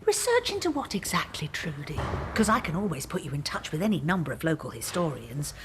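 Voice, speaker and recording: A prim English local historian in a radio drama, with a lowish voice that rises and falls in a lively way. She is chatty, proud and fussy, with quaint turns of phrase, over faint background noise. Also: fairly quick. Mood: helpful, proud. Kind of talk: naturalistic radio drama acting.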